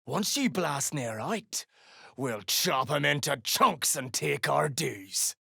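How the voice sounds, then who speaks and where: An actress playing a foul-mouthed, menacing female duergar mercenary boss in a video game, with a gruff, growling, threatening delivery. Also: low voice, lively intonation, slow pace, a bit bright, dry recording, studio quality, full character voice. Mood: gruff, scheming. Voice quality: gravelly, growling. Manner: gruff, crude, slangy, threatening. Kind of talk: video game character dialogue.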